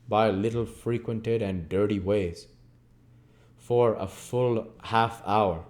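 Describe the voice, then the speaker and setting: A man reading a story aloud as channel host, in expressive narration with deliberate, measured phrasing. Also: lowish voice. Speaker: audiobook reader and channel host.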